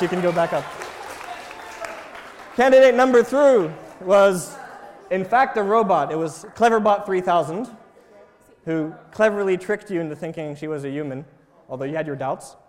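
High-pitched man presenting in an echoey hall. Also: moderate pace, some chatter behind. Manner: prompting, animated, playful. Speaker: presenter running a live demonstration. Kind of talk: lively interactive presentation. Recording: echoey, reverberant lecture hall.

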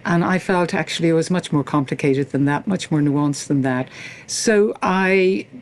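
An older woman from Ireland speaks with an Irish accent in an interview. Her phrasing is formal and considered, like that of an experienced academic giving reflective answers. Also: deep voice. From rural Ireland. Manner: articulate, measured, formal phrasing. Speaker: interview guest, academic and former university leader.

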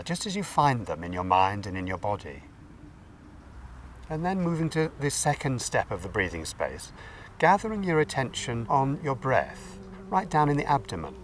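A man leading a guided meditation as a teacher, giving gentle, unhurried instructions with pauses. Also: lowish voice. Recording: distant mic, faint background noise.

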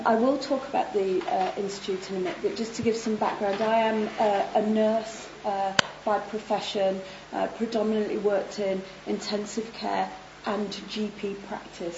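A woman speaking on a conference panel, croaky as she recovers from laryngitis. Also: mid-range voice, reverberant, dull audio, noisy background. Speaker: panel speaker, nurse turned health manager. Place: conference hall.

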